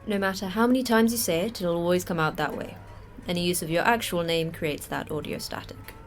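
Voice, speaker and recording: A woman's voice: lowish voice, fairly quick, a little hiss or hum, lightly acted. A woman playing a jaded, terse station manager in an audio drama, dry and matter-of-fact. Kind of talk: audio fiction podcast acting.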